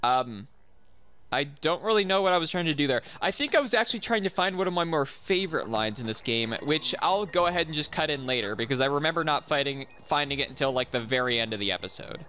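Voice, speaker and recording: A man's voice: on the high side, expressive, fast, pushed and bright, a little hiss or hum. A young man giving chatty, self-deprecating commentary over recorded gameplay. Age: young adult. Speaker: let's play commentator.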